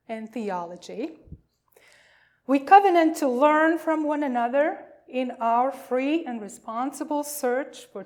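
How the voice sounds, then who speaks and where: Slow-spoken woman pastor, higher-pitched voice. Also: a little mellow. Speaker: pastor leading a worship service. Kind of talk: church welcome and liturgical reading.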